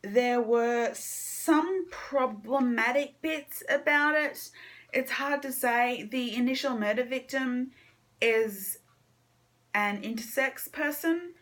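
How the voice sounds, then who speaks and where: A woman's voice: fairly high pitch, takes it slowly, fairly bright tone. Casual, hesitant woman chatting about reading. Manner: informal, hesitant, thinking aloud. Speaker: book vlogger. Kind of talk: casual monthly reading wrap-up chat.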